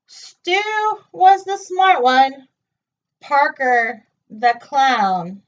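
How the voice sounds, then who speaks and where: A woman reading a children's picture book aloud with an expressive, singsong delivery, in a dull, distant recording. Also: high-pitched. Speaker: storytime reader.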